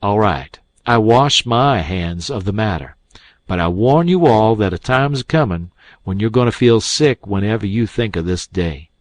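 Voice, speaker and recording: A man's voice: on the low side, lively intonation, moderate pace, no echo, lightly acted. A man voicing a stern, indignant older doctor who speaks bluntly and scornfully. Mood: grim.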